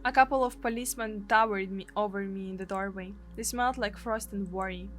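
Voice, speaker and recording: A woman's voice: mid-range voice, steady tone, a little fast, fairly bright tone, slight background noise. A woman with a Russian accent, a native Russian speaker, dramatically narrating a visual novel as a streamer. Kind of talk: dramatic narration and live translation of game text.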